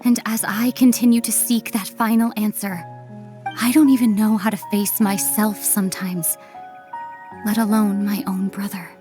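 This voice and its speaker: A woman playing a solemn, weary young princess and long-lost sister in a video game, softly and with measured delivery. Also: mid-range voice, steady tone, soft background music, lightly acted. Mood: sorrowful. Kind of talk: dramatic video game acting.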